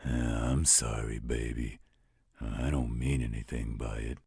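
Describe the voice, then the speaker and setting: Very deep, gravelly werewolf guardian. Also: male voice, little pitch movement, a little mellow, dry recording, studio quality, heavily acted. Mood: worried, protective. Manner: slow, protective, fatherly. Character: gruff, overprotective male werewolf guardian, tender toward his ward. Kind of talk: audio roleplay acting.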